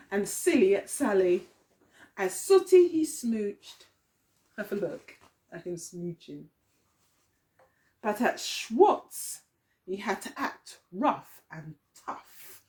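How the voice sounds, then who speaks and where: A woman teacher reading a picture story aloud to young children, expressively, often pausing to put questions to them. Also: medium pitch, very animated, darkish tone.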